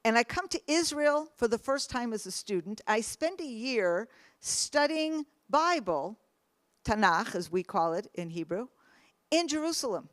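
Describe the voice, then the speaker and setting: A middle-aged woman tells a personal story in an earnest, emphatic way. Her voice sits fairly high and sounds close and dry. Her pitch climbs on her questions. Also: a bit bright, no room sound. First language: English. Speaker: speaker. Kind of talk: personal testimony talk.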